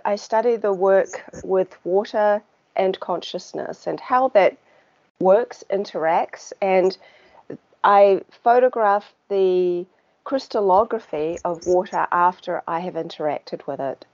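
A woman with a New Zealand accent, a podcast guest who talks in a relaxed, chatty way with small laughs and filler words. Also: mid-range voice, takes it slowly. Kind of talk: casual conversational interview. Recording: dull lo-fi sound.

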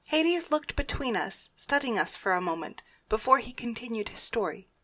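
A woman's voice: a little high, big pitch swings, normal speed, sharp tone, full character voice. A woman giving first-person scripted narration in a dramatic story.

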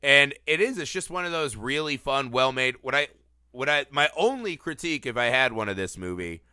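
A man hosting a casual film podcast, animated and teasing, a little sniffly from a cold. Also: mid-range voice, brisk, clean tone, no echo, clean studio sound. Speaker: podcast host. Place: Los Angeles area.

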